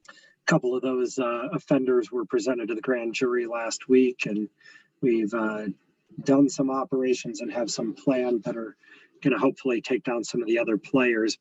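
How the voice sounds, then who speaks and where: A man with a raspy, husky voice delivers a police update at a neighborhood meeting. He speaks in a measured way with frequent pauses, as if over a video call. The sound is dry, dull and a bit distant from the mic. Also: mid-range voice, darkish tone. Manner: measured, hesitant with fillers. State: hoarse from sneezing. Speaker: police lieutenant.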